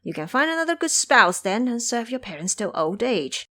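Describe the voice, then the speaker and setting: A woman's voice: a little high, big pitch swings, normal speed, sharp tone, pitched above the performer's own voice, heavily acted. A woman voicing a cocky, teasing male monkey king in an audio drama, boastful, preachy and theatrical in manner. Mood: reassuring.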